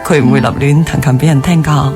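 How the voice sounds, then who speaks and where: A woman's voice: low-pitched, steady tone, fast talker, natural voice. An actress playing a candid, self-possessed, wistful former girlfriend in radio drama dialogue. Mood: probing, friendly. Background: music bed.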